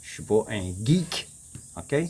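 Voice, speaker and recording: A man with a Québécois accent, casually walking viewers through a map on his screen, rambling, repeating himself and clearing his throat often. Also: on the low side, big pitch swings, takes it slowly, a little mellow, a little hiss or hum. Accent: Québécois French. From Quebec. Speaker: vlogger. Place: home in the Philippines.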